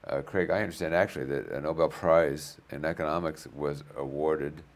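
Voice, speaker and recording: A man hosting an interview show, slightly hoarse from a cold, chatty with frequent fillers. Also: extremely low pitch, steady tone, moderate pace. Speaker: host.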